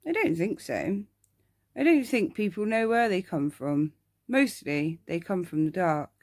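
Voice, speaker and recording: An earnest little boy who adores scary monsters, as a woman reads him in a bedtime story. He speaks slowly and solemnly, like someone who knows all about it, with a creaky edge and a close, dry sound. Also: on the low side, expressive, no echo. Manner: solemn, matter-of-fact, knowing. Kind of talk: ASMR bedtime story character.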